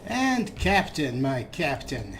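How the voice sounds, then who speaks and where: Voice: mid-range voice, big pitch swings, slow, fairly bright tone. A let's play host giving casual, wry game commentary and playfully talking to the characters. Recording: noisy, game ambience behind voice.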